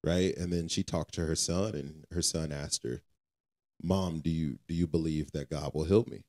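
A man's voice: rumbling low, steady tone, normal speed, mellow, no echo. A man co-hosting a faith podcast, talking casually and repeating phrases for emphasis. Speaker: podcast co-host.

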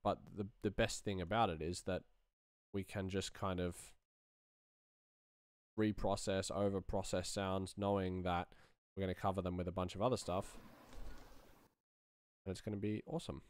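A man's voice: low voice, level tone, moderate pace, pauses often, smooth, dry recording. A man in his thirties giving casual sound design commentary, musing aloud as he works. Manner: musing, thinking aloud while working. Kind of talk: casual screen-share commentary.

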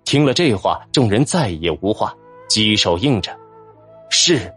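Low-voiced male audiobook narrator, animated delivery. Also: unhurried, slightly rough. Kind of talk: scripted dramatic narration of a fantasy novel. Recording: background music under narration.

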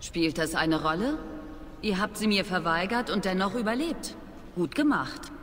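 An actress playing a proud, aloof young witch in a video game, with measured, formal, disdainful speech. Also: on the low side, lively intonation, close to an everyday voice. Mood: bitter, disdainful. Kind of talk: dramatic video game dialogue. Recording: long reverb, noisy game ambience.

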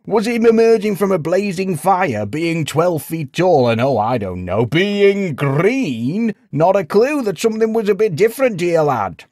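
A man performs a boisterous storybook tavern joker. His voice is pushed high and loud, swooping widely as he teases with hearty sarcasm. The recording is dry. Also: very animated, unhurried, few pauses, dry recording. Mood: sarcastic, amused. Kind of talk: storybook character voice.

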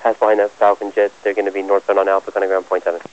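A male tower controller talks quickly over a muffled aviation radio. His voice is on the low side and stays very even as he delivers clipped, procedural instructions. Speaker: air traffic tower controller. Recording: muffled, lo-fi airport radio transmission.

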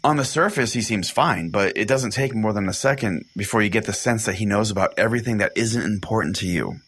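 A man playing an irritated, foul-mouthed small-town pastor, telling strange tales deadpan on a comedy podcast. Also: on the low side, breathy edge, full character voice.

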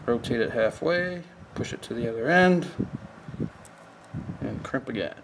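A man's voice: medium pitch, lively intonation, measured pace, noisy background. A man giving a plain, step-by-step how-to demonstration at a work table. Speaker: fishing tackle instructor.

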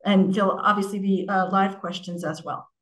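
A woman's voice: medium pitch, fairly even intonation, moderate pace. Woman host, casual and full of fillers. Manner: friendly, informal, filler-heavy. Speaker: event host. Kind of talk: casual event hosting. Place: lecture room.

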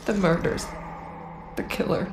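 An actress playing an anguished woman in a video game, halting and distressed as she struggles for control. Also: reverberant, background noise, natural voice. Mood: strained, panicked. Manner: halting, distressed, breathy outbursts. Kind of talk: video game cutscene acting.

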